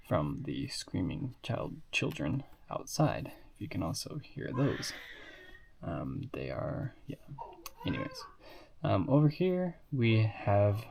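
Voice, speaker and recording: Slow, rambling sick man with a lively gravelly voice; faint noise behind. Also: on the low side. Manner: rambling, with many fillers and asides. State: sick and sniffly. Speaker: hobbyist reviewing toy building sets. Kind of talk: casual show-and-tell.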